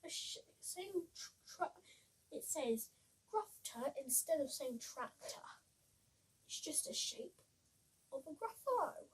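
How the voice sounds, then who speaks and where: A female reader giving an animated, slightly halting read-aloud of a children's story. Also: sits high. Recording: voice far from the mic.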